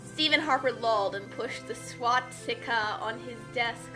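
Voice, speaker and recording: Female story reader, high-pitched and unhurried, playful dramatic delivery with chatty asides. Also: bright, forceful tone, faint music behind. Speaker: reader and co-host of a group story reading. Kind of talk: dramatic read-aloud of a badly written fan story, with casual asides.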